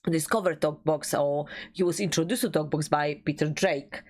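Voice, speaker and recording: Low-voiced woman chatting as co-host. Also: moderate pace, a little mellow. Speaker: reaction video co-host. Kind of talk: casual reaction chat.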